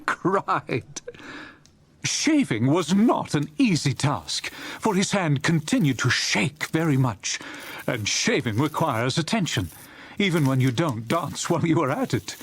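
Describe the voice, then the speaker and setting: A man narrating a Victorian Christmas tale as a genial, expressive, theatrical audiobook storyteller. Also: on the high side, husky, heavily acted.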